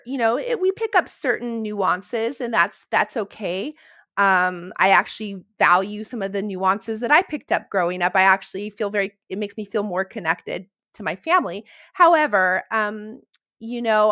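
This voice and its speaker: A woman's voice: medium pitch, a bit bright, no room sound, clean studio sound. A woman speaking as an educator guest in an interview, giving encouraging, reassuring advice in a conversational way.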